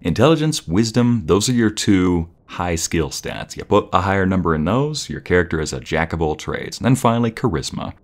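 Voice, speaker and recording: Very deep-voiced man, playful boyfriend roleplay. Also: very animated, brisk, hardly any pauses.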